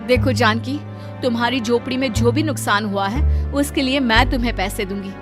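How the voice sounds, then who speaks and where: A woman's voice: on the high side, normal speed, over music, close to an everyday voice. A woman playing a wealthy, humbled mistress in a cartoon moral story, speaking earnestly and emotionally. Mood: grateful.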